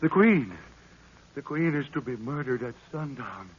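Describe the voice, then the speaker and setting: An actor playing a loyal wounded messenger near death, halting, faint and solemn, in 1930s radio adventure style. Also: male voice, medium pitch, lively intonation, breathy edge. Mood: desperate, failing. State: faint, gasping, dying. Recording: muffled, hissy 1930s radio recording.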